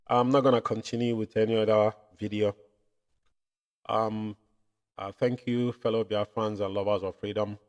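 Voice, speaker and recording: A man hosting a political commentary show from home, building into loud, impassioned rants. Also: on the low side. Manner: rises to loud impassioned ranting. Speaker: show host.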